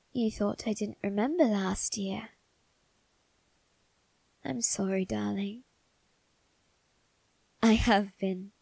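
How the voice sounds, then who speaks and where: A woman voicing a suave male vampire husband in an ASMR roleplay, coaxing softly and often whispering with quiet menace. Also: medium pitch, no echo, heavily acted. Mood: soothing, sinister. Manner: slow, soft, breathy coaxing, often whispered.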